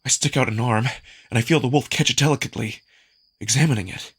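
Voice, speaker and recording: A man performing dramatic first-person story narration with expressive, acted-out delivery. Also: medium pitch, fast talker, slightly rough. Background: music.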